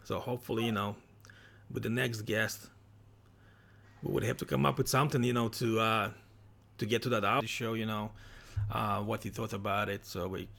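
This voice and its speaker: Male livestream host from Ukraine, low gravelly voice, halting casual delivery. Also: steady tone, fairly bright tone. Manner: halting, many restarts. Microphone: computer microphone, livestream.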